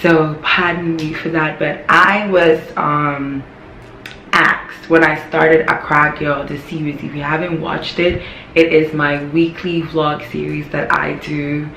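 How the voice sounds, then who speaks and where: A young woman with a hoarse, worn voice, chatting candidly to her vlog viewers and repeating phrases for emphasis. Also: low voice, little pitch movement, slow pace, pushed and bright, a little hiss or hum. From Ghana. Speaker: vlogger. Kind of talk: casual talking-to-camera vlog. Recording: echoey room.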